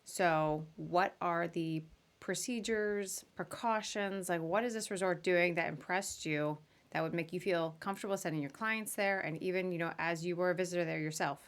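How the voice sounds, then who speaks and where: A woman's voice: fairly low pitch, moderate pace. A woman hosting a podcast interview in an informal, chatty, conversational way.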